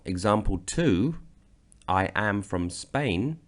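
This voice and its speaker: A man from Britain narrating a slideshow grammar lesson, explaining slowly and deliberately. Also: fairly low pitch, lively intonation. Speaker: language teacher.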